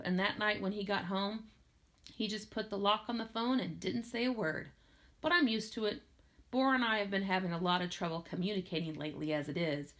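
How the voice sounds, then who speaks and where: A woman reading a first-person novel aloud in an expressive storytelling style. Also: medium pitch. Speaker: audiobook reader.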